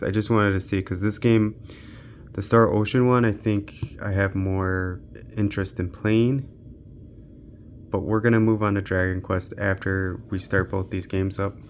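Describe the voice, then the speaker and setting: A man's voice: fairly low pitch, a little hiss or hum. A man giving casual, off-the-cuff commentary while testing games, muttering and thinking aloud, as a handheld gaming reviewer.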